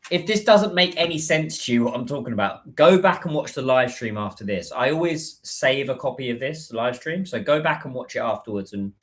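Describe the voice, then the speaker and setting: A British man talks loosely to viewers on a livestream, his voice sitting at an ordinary middle pitch. He rambles, restarts sentences and fills gaps with "um" and "like". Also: expressive, a little fast, few pauses, fairly bright tone. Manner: rambling, chatty, many fillers and restarts. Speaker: livestreamer and business content creator. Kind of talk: casual livestream chat.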